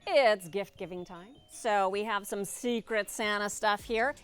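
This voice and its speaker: A woman's voice: mid-range voice, a little slow, clear, resonant tone. A woman bantering casually as co-host of a show. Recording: loud music behind the talk.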